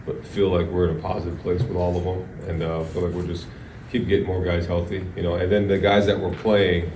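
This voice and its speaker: A man's voice: low voice, little pitch movement, brisk. A man, a football coach, answering press questions in a casual, rambling way, with many restarts and fillers. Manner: casual, rambling, full of "you know" and restarts. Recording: distant, echoey, noisy press room.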